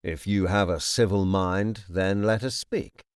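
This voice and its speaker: A man playing a composed, imperious necromancer lord in an audiobook, speaking formally and with measured, polite menace. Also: deep voice, steady tone, clear, resonant tone, no echo, clean studio sound. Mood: courteous, controlled. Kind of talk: audiobook character acting.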